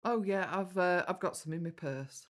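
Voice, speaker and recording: An actress playing a caring, sentimental middle-aged English daughter in a naturalistic audio drama, tender and conversational. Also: female voice, on the low side, normal speed, natural voice.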